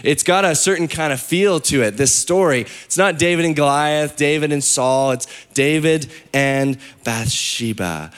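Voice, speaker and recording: Hoarse pastor preaching a sermon. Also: male voice, fairly high pitch. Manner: candid, self-deprecating, many fillers and restarts. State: hoarse, losing his voice.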